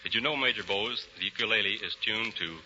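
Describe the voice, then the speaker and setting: Male bass contestant from Texas, 1930s radio interview, deep voice, hissy old recording. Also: a little fast, bright, forceful tone, dull audio. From Texas, United States. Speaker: amateur contestant, bass singer.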